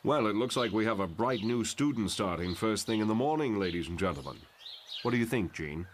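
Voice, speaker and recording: An actor playing a composed, idealistic professor who lectures in a formal, measured way in a motion comic. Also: male voice, on the low side, expressive, a little hiss or hum, lightly acted. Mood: calm, authoritative. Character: composed, idealistic male professor leading a mutant school. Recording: loud music behind.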